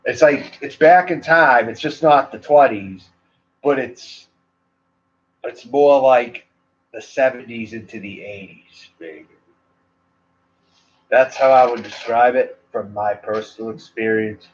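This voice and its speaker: A man with a Maine accent rambles casually to his livestream viewers, drifting from thought to thought with plenty of "like" and "you know." His voice sits at an ordinary middle pitch. The audio is dull and muffled, like a cheap stream mic. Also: takes it slowly, pauses often. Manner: meandering, loose, full of "like" and "you know". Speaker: cigar reviewer and livestream host.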